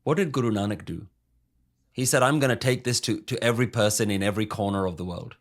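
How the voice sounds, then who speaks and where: A man's voice: fairly low pitch, quick pace. A man giving an earnest spiritual talk, emphatic and full of rhetorical questions, English being his first language. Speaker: spiritual speaker.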